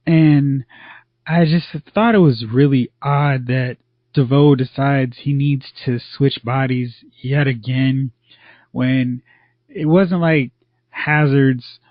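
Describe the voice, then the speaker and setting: A man co-hosting a casual podcast, talking through TV episodes with relaxed, opinionated chatter. His mid-range voice sits dry and close on a slightly dull, lo-fi recording. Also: mid-range voice, slow, no room sound. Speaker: podcast co-host. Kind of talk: casual TV review chat.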